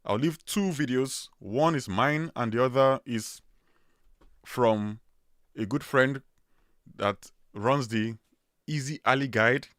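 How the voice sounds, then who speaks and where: A man with a strong Nigerian accent hosts a casual livestream in a chatty, easygoing way, full of fillers and restarts. His voice sits at a middling pitch. The sound is dry and close, with no room echo. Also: slow pace, long pauses, fairly bright tone. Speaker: livestream host.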